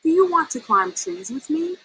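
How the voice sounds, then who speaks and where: A woman voicing a restless, defiant young male snake in a storybook, excitable and exclamatory. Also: sits high, normal speed, a little hiss or hum, voice pushed up. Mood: eager.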